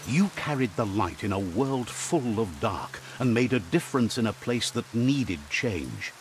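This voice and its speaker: An actor playing a wise, philosophical storyteller narrating a fantasy game in a solemn, measured way. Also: male voice, lowish voice, a little hiss or hum. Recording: music behind the voice.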